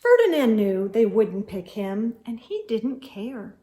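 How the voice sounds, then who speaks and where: A woman's voice: on the high side, very animated, measured pace, warm tone. A woman reading a children's storybook aloud as host, expressively and with emphasis, speaking directly to young listeners.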